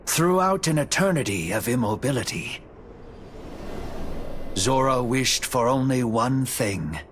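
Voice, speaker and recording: A man narrating a video game story cutscene in a measured, dramatic storytelling manner. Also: mid-range voice, lively intonation, a bit bright, a little hiss or hum. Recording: music under the voice. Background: music.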